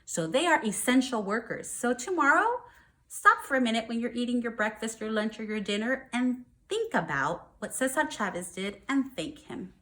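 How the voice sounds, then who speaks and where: A woman's voice: on the high side, big pitch swings, moderate pace, bright, forceful tone. An American woman, a first grade teacher, reads aloud to young children and explains things simply, checking in with questions.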